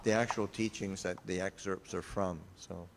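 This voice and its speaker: An older man, a Buddhist monk, giving an informal talk and answering questions, pausing often with fillers and restarts. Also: deep voice, fairly even intonation, in a large hall, a little hiss or hum. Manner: halting, many fillers and restarts.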